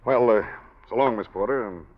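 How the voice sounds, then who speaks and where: A man's voice: lowish voice, fairly quick, a little hiss or hum, close to an everyday voice. An actor playing a laconic, kindly American private investigator in a 1940s radio drama, in an understated, casual tough-guy manner. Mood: gentle, low-key. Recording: dull 1940s radio recording.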